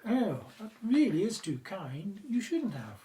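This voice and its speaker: A woman's voice: medium pitch, very animated, measured pace, mellow. A woman playing a breezy, easily bored British Prime Minister in an audio drama, chatty and self-absorbed, with a British accent. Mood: breezy delight.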